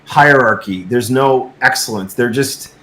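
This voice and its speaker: A man talking casually as a guest, halting and repeating himself insistently as he seeks agreement, with an echoey, roomy sound. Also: mid-range voice, bright, forceful tone. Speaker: guest filmmaker. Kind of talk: casual unscripted discussion.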